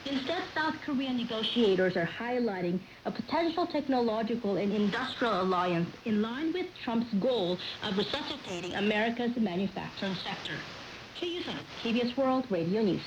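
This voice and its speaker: A woman's voice: fairly high pitch, lively intonation, normal speed. A woman reading a scripted news report as a radio reporter, heard over shortwave. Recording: noisy shortwave radio reception.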